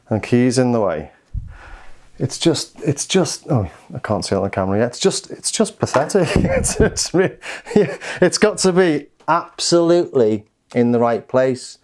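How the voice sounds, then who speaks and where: A man with a smooth, clear voice of ordinary pitch reviews synthesizers. He talks casually and thinks aloud, hesitating and circling back as he demonstrates the gear. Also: a little mellow.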